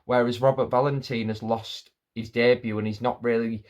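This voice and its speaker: A man talks through his fight picks in a loose, rambling way. He trips over names, restarts his sentences and leans on filler words as he thinks out loud. His voice sits at an ordinary middle pitch. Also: level tone, moderate pace. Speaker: MMA fight predictor. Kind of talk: casual fight-pick commentary.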